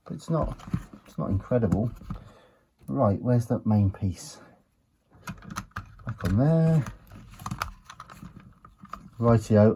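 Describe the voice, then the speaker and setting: A man giving an unhurried, low-key, matter-of-fact commentary as he works on a gadget, muttering to himself at times. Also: mellow, slight background noise. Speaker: retro electronics hobbyist presenter. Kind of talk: casual hands-on teardown commentary.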